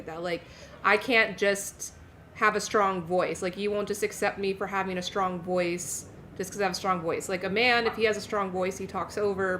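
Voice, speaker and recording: A woman's voice: mid-range voice, a bit bright. Emphatic woman, faint noise behind. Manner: emphatic, repeats points for weight. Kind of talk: casual group chat.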